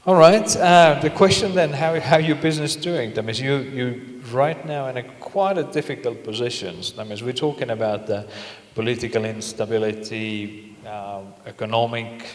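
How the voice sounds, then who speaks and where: A middle-aged man moderating a panel, asking questions in a halting way with non-native phrasing. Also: mid-range voice. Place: large indoor conference stage. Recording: echoey, reverberant conference hall.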